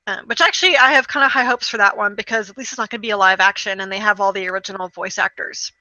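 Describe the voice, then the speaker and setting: Bright, wry woman, casual podcast chat. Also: mid-range voice, rapid-fire, dry recording, muffled recording. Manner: wry, dismissive asides. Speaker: co-host of a video game review show. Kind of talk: casual chat, reading through a list with commentary.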